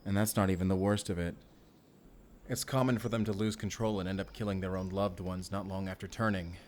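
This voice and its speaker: A man acting as a Spanish vampire slayer in a romantic audio drama. His voice sits fairly low and carries a Spanish accent. He speaks closely and earnestly, like a tormented, tender hero talking to his lover. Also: level tone, brisk, a little mellow, a little hiss or hum, natural voice. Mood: grave, earnest.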